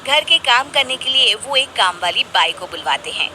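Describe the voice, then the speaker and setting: Bright, expressive woman storyteller. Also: on the high side, normal speed, a little hiss or hum. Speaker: narrator. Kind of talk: animated children's moral story narration.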